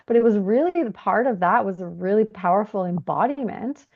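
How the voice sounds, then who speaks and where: A woman from Canada hosting a conversational podcast, reflective and affirming in an expressive way. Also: medium pitch, fairly quick, phone-quality sound. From Canada, moved there from Bulgaria aged three. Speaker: podcast host. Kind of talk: warm conversational podcast interview.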